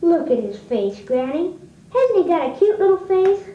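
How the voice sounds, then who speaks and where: A child actor playing an eager, wide-eyed farm boy with a rural American accent, folksy and excitable, in an old radio drama. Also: very high voice, moderate pace, narrow, tinny audio. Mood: pleading. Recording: echoey vintage record, slightly distant.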